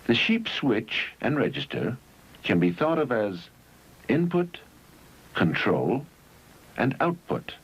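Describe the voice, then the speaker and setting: A man reads scripted narration for an educational film in measured, instructional phrases, on an old 1971 film soundtrack. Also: mid-range voice, sing-song, breathy edge, poor audio.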